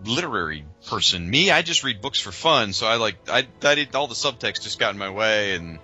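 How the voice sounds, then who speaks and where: A man with a fairly low but very bright, forceful voice chats as a podcast co-host. He is informal and enthusiastic, with light music playing behind him. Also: sing-song, fairly quick, slightly rough, dull audio. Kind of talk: casual chat.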